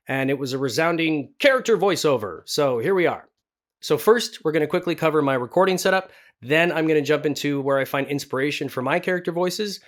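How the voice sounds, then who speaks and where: A man hosting a tutorial in a chatty, self-deprecating way, like a working voice actor talking to viewers. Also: fairly high pitch. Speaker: video host and voice actor. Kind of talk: casual scripted tutorial to camera.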